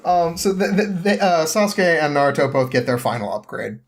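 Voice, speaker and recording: A man telling a story casually as a podcast guest, halting and rambling as he goes, with an amused manner. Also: on the high side, steady tone.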